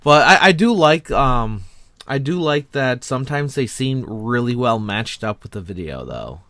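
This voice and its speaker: A man's voice: mid-range voice, big pitch swings, a little slow, a bit bright, no echo. A man hosting a casual online panel chat in a joking, rambling way. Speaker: host of an online panel discussion.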